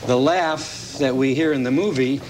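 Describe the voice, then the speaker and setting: An American man giving a casual, halting, explanatory movie review as a guest on a morning TV show. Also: mid-range voice. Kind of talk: casual interview commentary. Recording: slightly noisy TV broadcast recording.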